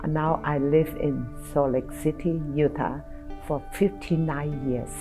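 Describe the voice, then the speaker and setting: Older woman, halting speech. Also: low-pitched, steady tone, over music. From China. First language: Toisanese. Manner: halting, searching for words. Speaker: interviewee. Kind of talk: documentary interview.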